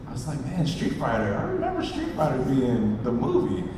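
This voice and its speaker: A man co-hosting a convention panel, chatting in an animated, conversational way. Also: medium pitch, fairly quick, background noise. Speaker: panel co-host. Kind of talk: casual convention panel talk. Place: large indoor convention panel room. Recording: echoey hall, distant, crowd murmur.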